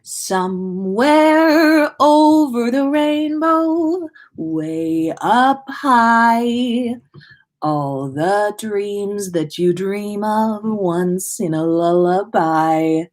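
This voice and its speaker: Storybook role: dreamy teen witch girl, sung by a female reader, slow, bare singing. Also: mid-range voice, expressive.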